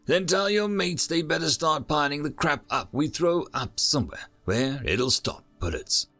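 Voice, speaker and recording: A cocky, foul-mouthed soldier officer who throws orders around in blunt slang, as a man puts on a higher, livelier voice that jumps up and down in pitch. Bossy and brash, a storybook grunt. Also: lively intonation, moderate pace, pushed and bright, soft background music, heavily acted. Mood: gruff bossy.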